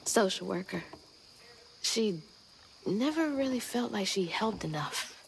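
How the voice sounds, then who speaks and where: A determined young heroine in a video game. She talks conversationally, wry and caring, with expressive rises and falls. Clear insect noise surrounds her voice. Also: mid-range voice, measured pace, low-quality recording. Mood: reflective. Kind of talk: video game character acting.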